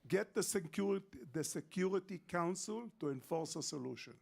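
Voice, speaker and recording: A man's voice: high-pitched, animated, a little fast, vocal fry, slightly rough. An older man, speaking emphatically and repeating his points as a panel guest. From Israel. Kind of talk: panel discussion.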